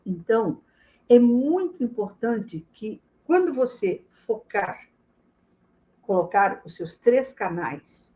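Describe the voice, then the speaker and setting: Explaining woman teacher, fairly high. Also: lively intonation, takes it slowly, dark tone. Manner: explanatory, repeats key points, speaks directly to the listener. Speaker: memory course teacher. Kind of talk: spoken lesson to camera.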